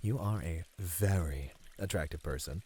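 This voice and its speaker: A man's voice: low voice, moderate pace, darkish tone, husky. A man playing a friendly, easily flustered bunny man in an ASMR roleplay, earnest and a little hesitant. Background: pouring, trickling water.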